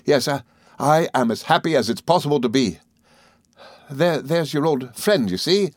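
A man's voice: fairly high pitch, animated, normal speed, no room sound, studio quality, pitched above the performer's own voice. A man voicing an earnest, cheerful, modest young lawyer in an audiobook, hesitant and effusively polite as he confides. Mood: cheerful pride. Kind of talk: audiobook character dialogue.

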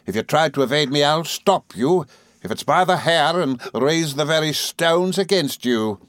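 A man reading a classic novel, voicing a hateful, imperious gentlewoman with a cold, stern, sharp tone and contemptuous manner. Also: medium pitch, expressive, measured pace, no room sound, studio quality, pitched above the performer's own voice, lightly acted. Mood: menacing, furious. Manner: contemptuous, resolute, theatrically fierce. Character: hateful, imperious, scornful young gentlewoman villain.

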